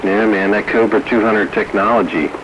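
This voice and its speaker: A man's voice: on the low side, steady tone, moderate pace. A man chatting casually as a CB radio operator in a laid-back, slangy way. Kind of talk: casual chat over CB radio. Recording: noisy, staticky CB radio transmission.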